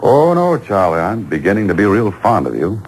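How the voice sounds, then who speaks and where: A man's voice: on the low side, sing-song, a little fast. An American actor playing a coolly menacing, wry and taunting man on the run in an old-time radio drama. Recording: noisy old radio show taped off air.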